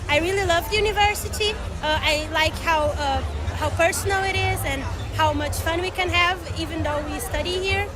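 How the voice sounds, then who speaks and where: A woman's voice: very high-pitched, normal speed, hardly any pauses. A woman in her twenties giving a student testimonial. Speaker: law student. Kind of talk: testimonial to camera. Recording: outdoor chatter, music behind.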